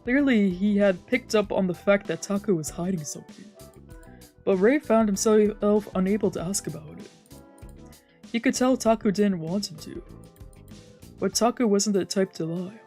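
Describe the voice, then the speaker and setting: A woman's voice: mid-range voice, moderate pace, long pauses, warm tone, faint music behind. A woman streamer narrating a visual novel aloud in a let's play, reading dramatically and sometimes stumbling over words.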